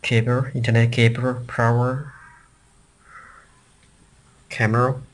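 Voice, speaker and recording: A man of medium pitch talks slowly through a hands-on product demonstration. He is halting, often repeating words as he searches for the next one. The handheld recording carries faint noise and clicks. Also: level tone, poor audio. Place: indoors at a worktop.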